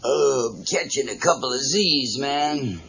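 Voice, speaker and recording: A man's voice: medium pitch, animated, a little slow, vocal fry, fairly bright tone, husky, full character voice. A man voicing a laid-back, drowsy hippie musician with drawling jive talk in a children's story. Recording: dull, noisy cassette tape.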